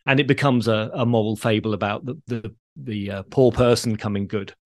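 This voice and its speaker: A man's voice: on the low side, steady tone, brisk, dry recording, clean studio sound. A British man hosting a podcast, explaining things in a conversational way. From Britain. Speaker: podcast host.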